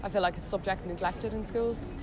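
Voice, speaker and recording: A young Irish woman answering a street interview with hesitant fillers. Her voice sits at a middling pitch. A busy crowd carries clearly behind her. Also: fairly even intonation, a little fast. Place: outdoors in town.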